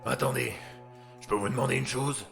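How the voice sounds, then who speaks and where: A man's voice: medium pitch, brisk, gravelly, fairly bright tone, echoey room, soft background music. A man playing a blunt, worn-out, foul-mouthed doctor with superpowers in an audio drama. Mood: weary, blunt. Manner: blunt, sardonic, crude slang. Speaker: voice actor.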